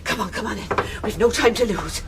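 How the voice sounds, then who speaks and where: A woman's voice: medium pitch, fairly quick, natural voice. An actress playing an anxious, honest innkeeper mother, stern and fearful, in a radio drama. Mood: stern, urgent. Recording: noisy radio drama with clicks.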